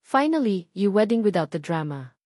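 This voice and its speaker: A woman's voice: medium pitch, big pitch swings, moderate pace, darkish tone, no echo. Woman reads friendly adult male friend; light, easy delivery, dry. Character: friendly grown man, husband's loyal friend.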